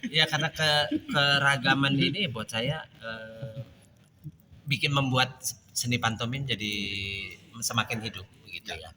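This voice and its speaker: A man at a panel discussion on mime, talking in a reflective, halting way with many fillers and restarts. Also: mid-range voice, bright, forceful tone, slight background noise. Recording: clear background music.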